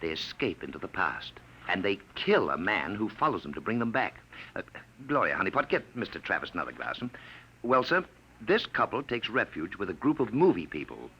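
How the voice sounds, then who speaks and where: A smooth scheming villain. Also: male voice, on the low side, sing-song, brisk, bright, forceful tone, exaggerated voice. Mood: persuasive. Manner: persuasive, smooth talker. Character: smooth scheming male time-traveler villain. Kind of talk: 1950s radio drama acting. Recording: dull noisy 1950 radio broadcast.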